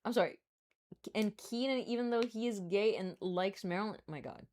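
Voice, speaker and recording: A woman's voice: mid-range voice, moderate pace, darkish tone, a little hiss or hum. A young woman with a Brazilian accent chatting casually on a live stream, laughing often and making playful asides.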